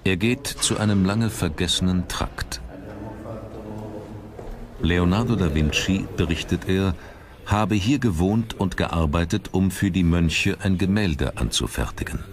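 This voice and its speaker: A man reading scripted documentary narration in a measured, dramatic manner. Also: low voice, slight background noise. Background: background music.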